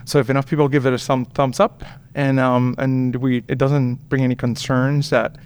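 A man, chatting as an interview guest and pausing often with "um.". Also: mid-range voice, level tone, darkish tone, clear, resonant tone. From Puerto Rico. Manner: hesitant, many ums and restarts. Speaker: guest, veterinarian. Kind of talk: casual interview chat.

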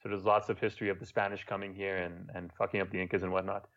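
A man's voice: deep voice, little pitch movement, very fast, a bit bright, lo-fi sound. Laid-back Montreal man, French accent. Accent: Quebec French. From Montreal, Canada. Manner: laid-back storytelling, swears casually. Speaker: guest, YouTuber. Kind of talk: casual podcast chat.

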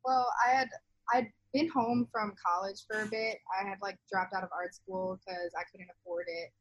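A woman's voice: mid-range voice, steady tone, moderate pace, no echo, lo-fi sound. A young adult woman being interviewed, talking casually in a loose, meandering way peppered with "like". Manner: heavy use of "like", loose and meandering. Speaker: interview guest, zine co-founder.

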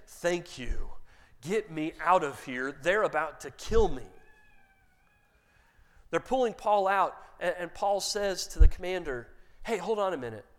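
A man preaching a sermon, retelling a story with lively quoted dialogue. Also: a little high. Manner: animated retelling, quotes characters in his own voice. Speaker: pastor.

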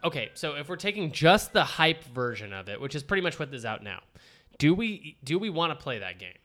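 A man hosting a casual podcast chat about video games, animated and quick with jokes and laughs. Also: a little high. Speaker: podcast host.

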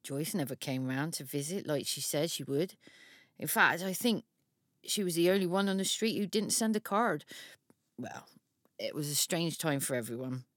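A woman's voice: low voice, animated, moderate pace, no echo, clean studio sound. An actress playing an aggrieved, fiercely protective mother who talks in a gossipy, resentful, confiding way in an audio drama monologue. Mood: wounded, resigned.

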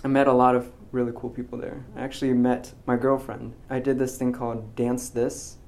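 A young man in his twenties, a graduating physics student, talking in a casual interview, soft-spoken and hesitant with many ums and restarts. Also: mid-range voice, flat delivery, fairly quick. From United States. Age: 20s.

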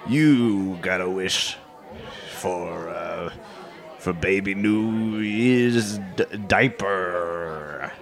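A man's voice: sits low, drawn-out words, gravelly, heavily acted. A man playing a leering, diapered Baby New Year who stumbles through his words in an improvised live comedy bit. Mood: rambling, insistent. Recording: music and crowd chatter behind the voice.

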